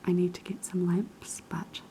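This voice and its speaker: A young woman with a New Zealand accent whispering unhurried ASMR chat in a kitchen, her voice raspy and hoarse after being sick. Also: fairly low pitch, monotone. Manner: soft, unhurried whispering with many ums. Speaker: ASMR creator. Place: kitchen of a quiet flat.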